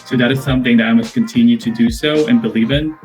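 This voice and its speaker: Filipino man interviewee, mid-pitched, steady, leans on fillers and repeats words. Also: music in the background, poor audio. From Philippines. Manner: measured, repetitive, leans on "basically" and restarts. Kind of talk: casual interview answers.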